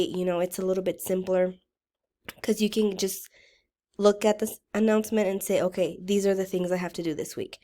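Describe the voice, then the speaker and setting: A woman college writing instructor, still getting over a cold, explains how to navigate her course in a relaxed, conversational way. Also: mid-range voice. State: voice rough from a recent cold. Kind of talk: recorded course walkthrough lecture.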